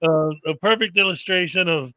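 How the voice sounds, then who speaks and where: A man's voice: sits high, moderate pace, bright, forceful tone, no room sound. Upbeat male livestream host. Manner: upbeat, rambling, many fillers. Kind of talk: casual livestream chat.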